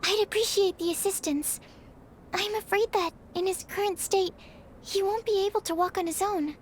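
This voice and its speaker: A nervous young alchemist girl with a very high, soft voice. She is politely formal and often stumbles over her words. Faint ambience sits behind her. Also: steady tone, normal speed, a little hiss or hum. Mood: timid. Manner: shy, apologetic, stammers. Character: timid young female alchemist, polite and anxious. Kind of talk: video game voice acting.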